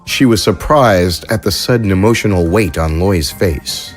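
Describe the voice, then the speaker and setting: Narrator, very low voice, measured reading, faint music bed. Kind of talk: scripted narration.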